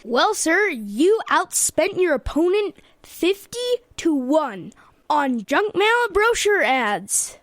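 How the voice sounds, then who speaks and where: A dutiful political aide played by a woman in a radio comedy. She speaks slowly and deferentially in a high voice with big rises and falls. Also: big pitch swings, fairly bright tone, no echo, exaggerated voice. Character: dutiful, deferential campaign aide reporting to boss. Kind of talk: comedy radio theater acting.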